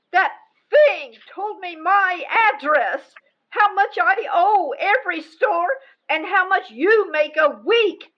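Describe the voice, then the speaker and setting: A woman's voice: extremely high pitch, highs cut off, full character voice. A woman acting an indignant, nagging wife in a radio comedy.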